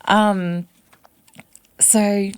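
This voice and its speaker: A woman's voice: mid-range voice, little pitch movement, very slow, over a noisy crowd. A woman in her forties from West Papua, whose first language is not English, telling her family's story as a guest in a podcast interview with earnest reflection. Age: 40s. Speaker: singer-songwriter guest.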